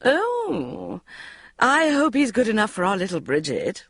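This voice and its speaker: A woman voicing a nosy, pushy middle-aged family friend, talking loudly and breezily. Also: medium pitch, wildly swooping pitch, a little slow, vocal fry, husky, no echo, studio quality, pitched above the performer's own voice, heavily acted. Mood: pushy chirpy. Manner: loud, meddling and breezy.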